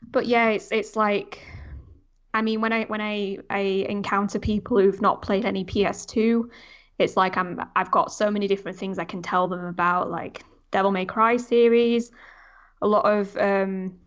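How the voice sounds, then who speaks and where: A woman's voice: mid-range voice, fairly even intonation, moderate pace, smooth, lo-fi sound. A young woman chatting casually and informally on a gaming podcast, rambling as she goes. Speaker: podcast panelist.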